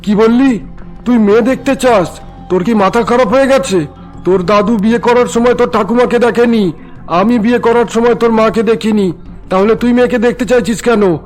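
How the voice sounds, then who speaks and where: A stern middle-aged father from a cartoon, acted by a man, pitched high for a male voice. He is domineering and traditional and takes no argument. Faint music runs behind him. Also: moderate pace. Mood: indignant. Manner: commanding, threatening, brooks no argument.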